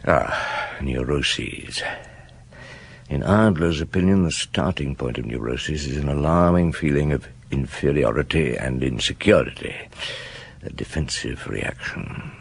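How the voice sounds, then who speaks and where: An actor playing a weary, doubting middle-aged chief inspector in a radio drama, probing, hesitant and often muttering to himself. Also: male voice, very deep voice, unhurried, vocal fry, breathy edge, exaggerated voice. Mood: musing, muttering. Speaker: detective and narrator. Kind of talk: radio drama dialogue and narration.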